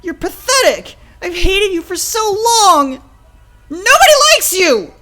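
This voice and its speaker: A man voicing an angry, defiant young animal boy in a dramatic story, yelling and tearful with a cracking voice. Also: very high-pitched, sing-song, normal speed, faint music behind, voice pushed up, heavily acted. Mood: hysterical rage. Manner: foul-mouthed, yelling, voice cracking.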